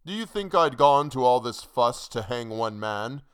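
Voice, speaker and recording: A man voicing a sinister, mocking hangman in a dramatic poem, taunting in deliberate riddles. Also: medium pitch, animated, clear, resonant tone, lightly acted. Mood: amused scorn. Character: sinister, mocking hangman, knowing and businesslike executioner. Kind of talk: dramatic poetry recitation.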